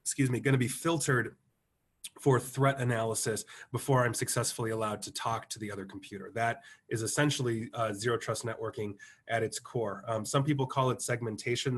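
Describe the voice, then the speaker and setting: A man with a hoarse, fading voice from the flu, explaining technical ideas as a guest expert on a webinar, clearing his throat now and then. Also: medium pitch, fairly even intonation, fairly quick, a bit bright. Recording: remote webinar audio.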